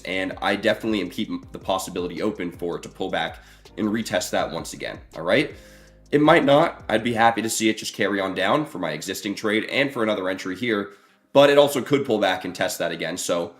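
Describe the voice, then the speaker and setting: Hoarse low-voiced man streaming live. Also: fairly bright tone. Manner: casual, many fillers, talks to chat. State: hoarse, voice going rough. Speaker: trading streamer. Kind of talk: live stream commentary to chat.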